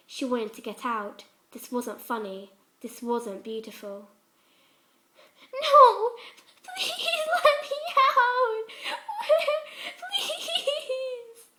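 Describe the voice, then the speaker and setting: A woman's voice: on the high side, a bit bright. A woman reading fan fiction aloud as a dramatic scripted story narration.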